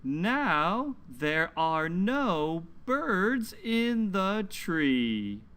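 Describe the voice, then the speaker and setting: Very slow male children's read-along host. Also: sits high, sing-song, a bit bright, clean tone. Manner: deliberate, simple phrasing for young learners. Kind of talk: slow, scripted children's read-aloud lesson.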